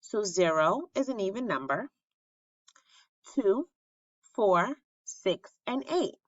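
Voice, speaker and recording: A woman reading a children's picture book aloud like a teacher, with expressive, animated and instructive storytelling. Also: medium pitch, slow. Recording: roomy, slightly muffled sound.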